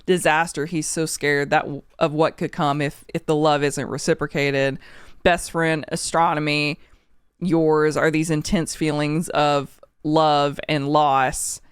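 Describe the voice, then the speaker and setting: Sniffly woman doing an album reaction, smooth clear voice, super dry close mic, emotional and candid, swears a bit. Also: deep voice, flat delivery, a little slow, dry recording. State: sniffly from a cold. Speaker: music reaction channel host. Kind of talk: casual album reaction and review.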